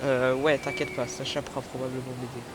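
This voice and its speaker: A man voicing a hapless, hesitant teenage schoolboy in a French animated fan dub. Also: mid-range voice, a little fast, noisy background, lightly acted. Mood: shaken.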